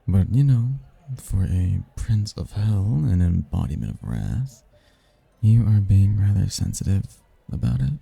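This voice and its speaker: A man playing a smooth-talking demon prince in an audio roleplay, teasing and smug, with a low, husky, gravelly delivery. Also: very animated, a little slow, dark tone, no room sound, exaggerated voice. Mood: playfully dismissive. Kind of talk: audio roleplay, ASMR-style voice acting.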